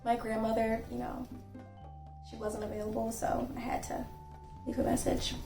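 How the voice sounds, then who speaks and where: Soft-spoken young woman giving etiquette advice. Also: a little high, flat delivery, moderate pace, vocal fry. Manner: earnest and gently instructive, acts out sample phone calls. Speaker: channel host and etiquette creator. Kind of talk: casual etiquette advice vlog. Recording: echoey room, voice from a distance, faint music.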